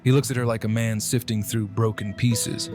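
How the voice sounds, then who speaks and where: A man narrating a scripted horror drama in measured, broken phrases with dramatic pauses. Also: lowish voice, level tone, music in the background.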